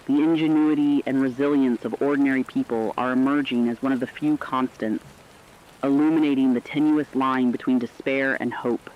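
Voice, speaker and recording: A man's voice: mid-range voice, close to an everyday voice. A man playing an earnest field correspondent, delivering a formal, articulate scripted emergency report for a radio drama. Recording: slightly dull lo-fi radio sound.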